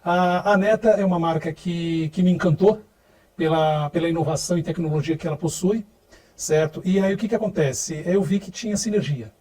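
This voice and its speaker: Mellow middle-aged man, hesitant answers. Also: on the high side, recorded from a distance. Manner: measured, hesitant, with repeated words. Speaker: guest, sales director. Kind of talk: interview answers.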